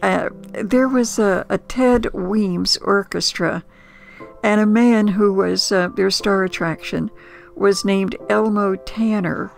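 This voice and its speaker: A woman's voice: medium pitch, steady tone, vocal fry, faint music behind. An older woman with an American accent telling nostalgic memories in a reflective, conversational way. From United States. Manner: reflective, conversational, unhurried with pauses. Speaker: storyteller. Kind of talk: nostalgic first-person storytelling.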